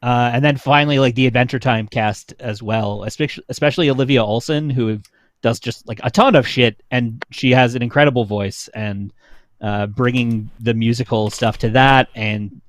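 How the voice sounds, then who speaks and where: A man's voice: medium pitch, no room sound. A young adult man chatting casually on a livestream, an enthusiastic fan who peppers his talk with "like". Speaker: show panelist.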